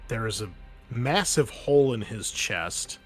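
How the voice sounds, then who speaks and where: A man's voice: mid-range voice, lively intonation, unhurried. Male game master with music underneath. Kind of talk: narrating a tabletop game, casual.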